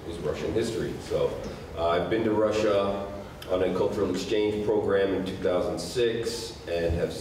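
A man in his forties speaks informally as a professor on a panel. His voice is deep. It sounds distant, in a strongly reverberant hall. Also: darkish tone. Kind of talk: informal self-introduction. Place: college event room, seated at table. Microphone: handheld microphone. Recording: echoey hall, distant mic, faint noise.

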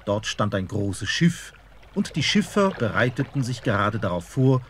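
An expressive male fairy-tale narrator. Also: fairly low pitch, moderate pace, a little hiss or hum. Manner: storytelling, expressive.

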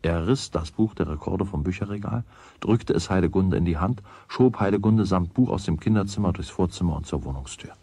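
A man's voice: deep voice, animated, fairly quick, warm tone, slightly rough, no room sound, full character voice. A man narrating a children's radio play as its scripted storyteller. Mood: measured.

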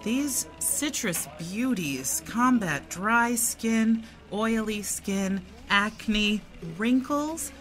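A woman's voice: a little high, animated, very slow, few pauses, a bit bright, soft background music, full character voice. An actress playing a pushy, sunny wellness saleswoman with a self-promoting, salesy manner in an audio drama.

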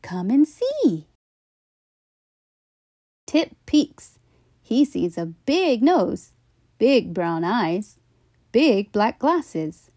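A woman reading a children's story aloud with expressive, deliberate, emphatic phrasing. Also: mid-range voice, no room sound, clean studio sound.

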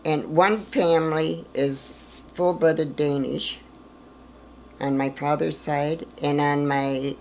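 An older woman in her eighties with a gravelly edge to her voice, telling stories slowly and plainly. A faint hiss of room noise sits under her words. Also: deep voice, steady tone, darkish tone. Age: 80s. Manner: plain-spoken, slow storytelling with small laughs. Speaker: interviewee. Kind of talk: documentary interview reminiscence. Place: living room, in an armchair.

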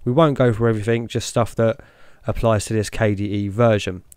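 Young man, tech video host, relaxed step-by-step narration, smooth clear voice, dry close sound. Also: on the low side, brisk, dry recording. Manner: relaxed, talks through each step as he clicks. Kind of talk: screen-recorded walkthrough narration.

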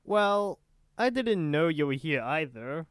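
Man acting a smooth, teasing young guy. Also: a little high, lively intonation, slow, no echo, studio quality. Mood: nosy, teasing. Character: nosy, teasing young male friend, smug and easygoing. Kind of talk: ASMR roleplay acting.

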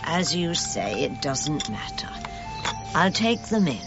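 A woman's voice: low voice, big pitch swings, slow, music in the background, exaggerated voice. An actress playing a patient, solicitous, mildly scolding woman looking after an old man in a radio drama. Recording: muffled, noisy, birdsong behind.

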